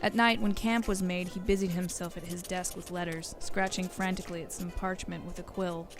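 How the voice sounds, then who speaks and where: A woman narrates a scripted audio adventure. Her voice sits fairly low with noticeable creak, and music plays clearly underneath. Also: steady tone, fairly quick.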